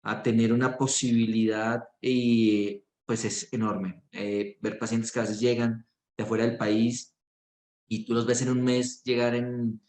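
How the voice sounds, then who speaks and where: A middle-aged man with a Bogotá Spanish accent talks in an earnest, reflective way, often pausing on fillers. His voice sits at an ordinary middle pitch. The room around him rings with a noticeable echo. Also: level tone, darkish tone. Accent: Colombian Spanish (Bogotá). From Colombia. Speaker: physician and research director. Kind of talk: promotional interview monologue. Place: clinic. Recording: echoey room.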